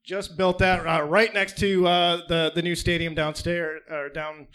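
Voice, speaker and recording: A man's voice: fairly high pitch, level tone, a little slow, a bit bright, clean tone. A man playing a shifty fried-chicken huckster. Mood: salesy. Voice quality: evasive, hesitant, salesman patter. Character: shifty fast-food owner hawking dubious fried pigeon. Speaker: guest pitching a restaurant. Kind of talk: improvised comedy sketch.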